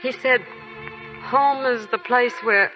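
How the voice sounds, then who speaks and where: A loving young bride with a soft, earnest voice pitched high. The sound is dull, like an old radio broadcast, with faint music behind her. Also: takes it slowly. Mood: tender. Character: young woman newly married, loving and brave. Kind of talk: 1940s radio acting.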